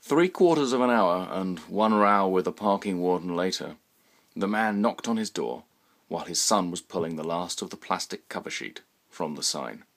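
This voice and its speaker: A man's voice: sits low, lively intonation, normal speed, fairly bright tone. A middle-aged man with a British accent reading his own short story as scripted narration, close to the mic indoors.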